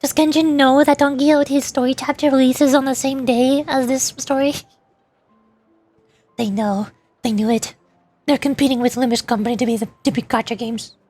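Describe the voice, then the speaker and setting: A woman's voice: high voice, fairly even intonation, normal speed, a little mellow. A young woman streamer chatting casually about games, rambling with many fillers. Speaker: vtuber streamer. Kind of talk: casual game commentary. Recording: faint music behind.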